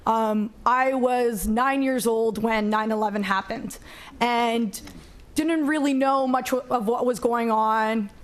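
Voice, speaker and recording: Young woman from canada, panel speaker, fairly high voice, earnest, lots of ums. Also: fairly even intonation, takes it slowly. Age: 20s. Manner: earnest, many "um" fillers. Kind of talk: seated panel talk.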